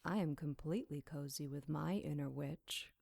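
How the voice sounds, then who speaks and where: A woman's voice: deep voice, moderate pace, vocal fry, a little mellow, no echo, clean studio sound. An actress playing a captive demon witch in an audio drama, sly, wry and defiant in a dryly mocking way.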